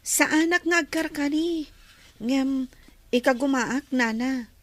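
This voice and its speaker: A woman's voice: sits high, slow, a bit bright. An actress playing a polite young working woman in an Ilocano radio drama. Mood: polite, earnest.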